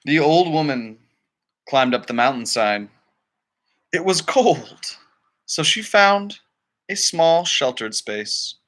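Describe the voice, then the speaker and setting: Man, children's read-aloud narrator, slow delivery, lively swooping intonation, dramatic phrasing, casual asides. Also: fairly high pitch. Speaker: storybook reader.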